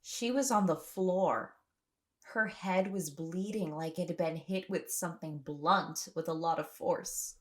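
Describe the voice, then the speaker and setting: Woman acting anxious, exhausted woman, slow-paced. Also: on the low side, natural voice. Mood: tense, recounting. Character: anxious, exhausted young woman trapped on an island. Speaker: lead actor in an audio drama. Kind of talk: audio drama acting, first-person recollection and dialogue.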